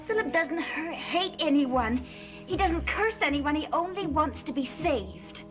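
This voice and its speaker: Defiant lovestruck young woman, very high voice, emotional gothic melodrama, music behind. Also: animated, measured pace. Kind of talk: gothic soap opera acting.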